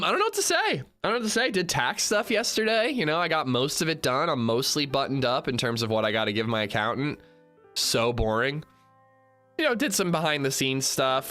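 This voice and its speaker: A chatty male streamer in his thirties, a little congested. His voice is bright and forceful, and soft music plays in the background. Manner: chatty, swings from upbeat shout-outs to low mumbling. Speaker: livestreamer. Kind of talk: casual livestream chat greeting viewers.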